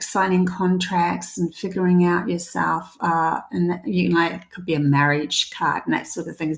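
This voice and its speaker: A woman's voice: medium pitch, reverberant. Rambling woman with a Kiwi-Australian accent. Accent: mixed New Zealand and Australian. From New Zealand. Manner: rambling, hesitant, many ums and restarts. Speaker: tarot reader. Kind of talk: live-stream tarot reading.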